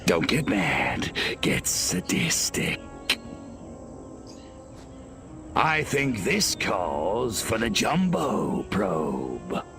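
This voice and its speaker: A man playing a cocky, sadistic little alien invader in a video game, gravelly, sneering and wisecracking with menace. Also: fairly low pitch, wildly swooping pitch, very slow, over music, exaggerated voice. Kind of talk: video game cutscene acting.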